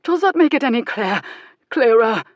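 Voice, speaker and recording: A woman's voice: mid-range voice, moderate pace, no echo, dull audio, natural voice. A woman doing a pained, formal aristocrat. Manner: strained, clipped, formal. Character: pained, indignant young aristocrat man.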